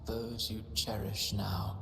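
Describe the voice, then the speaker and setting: An actor playing a cold, mocking villain in a video game, speaking in a grandiose, deliberate way. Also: male voice, lowish voice, little pitch movement, creaky, full character voice. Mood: somber, foreboding. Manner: slow, grandiose, deliberate delivery. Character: cold, mocking, theatrical male villain taunting a wanderer. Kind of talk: dramatic video game voice acting. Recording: loud music under voice. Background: loud game music.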